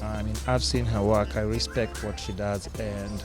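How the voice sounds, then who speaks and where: A man's voice: fairly low pitch, little pitch movement, normal speed, music in the background. Chatty man hosting a podcast. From Kenya. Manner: chatty, laughs, interrupts with follow-up questions. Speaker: podcast host. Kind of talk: casual podcast interview.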